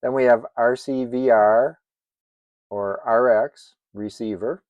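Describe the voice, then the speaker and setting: An older man giving an informal instructional narration in a measured, plain-spoken manner, as a hobbyist presenter. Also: mid-range voice, fairly even intonation, dry recording, dull audio. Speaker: amateur radio operator presenting a tutorial.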